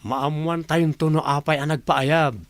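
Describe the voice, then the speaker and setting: An actor playing a casual, chummy young office worker in a radio drama. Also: male voice, a little high, fairly even intonation, a little slow, no room sound.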